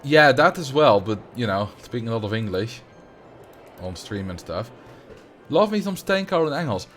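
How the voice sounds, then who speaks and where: Dutch-accented man casually streaming a game. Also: medium pitch. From Netherlands. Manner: chatty, reads and answers chat aloud. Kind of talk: casual livestream commentary while playing a game.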